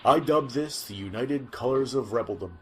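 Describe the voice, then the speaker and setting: A man's voice: medium pitch, moderate pace, a little hiss or hum, lightly acted, rough recording. A man playing an earnest futuristic news reporter in a scripted sci-fi audio drama. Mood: earnest, curious.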